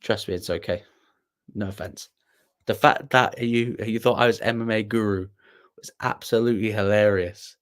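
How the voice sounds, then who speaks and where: A man's voice: fairly low pitch, steady tone, moderate pace. A young man hosting a casual livestream, rambling and self-deprecating as he answers viewers with plenty of ums and restarts. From Wales. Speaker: livestream host.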